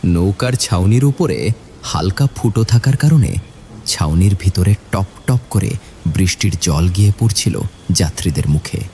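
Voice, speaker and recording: A man's voice: low voice, lively intonation, a little slow, warm tone. A man narrating a scripted ghost story for a cartoon. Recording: noisy, with rain and thunder behind.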